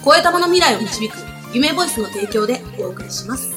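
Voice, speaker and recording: A woman's voice: on the high side, animated, fairly quick. A woman hosting a casual group radio talk, often laughing as she speaks. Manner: laughs often while talking. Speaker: radio show host. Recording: background music under the talk. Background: music.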